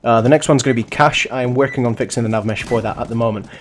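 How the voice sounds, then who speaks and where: Young male host, hoarse and sniffly with flu, casual rambling match commentary, faint gunfire under the voice. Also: mid-range voice, fast, slight background noise. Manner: rambling, self-correcting, chatty. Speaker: host and commentator of a bot league.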